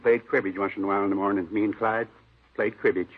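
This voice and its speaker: An actor playing a simple-minded, eager, talkative janitor in an old radio drama, rambling in folksy, ungrammatical repetitions. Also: male voice, on the low side, fairly even intonation, fast, phone-quality sound, close to an everyday voice. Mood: plain, rambling. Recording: noisy, band-limited old radio recording.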